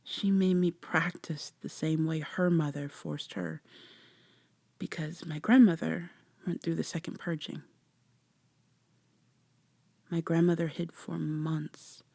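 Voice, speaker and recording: A woman playing a guarded, sardonic human woman in a vampire ASMR roleplay, speaking close and breathy, with sarcastic, wary delivery that slips into whispers. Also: sits low, darkish tone, dry recording, heavily acted. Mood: somber, haunted.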